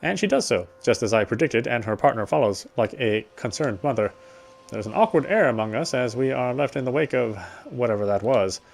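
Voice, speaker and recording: A man's voice: medium pitch, expressive, brisk, gravelly. A man reading first-person story narration aloud for a visual novel game. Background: faint music.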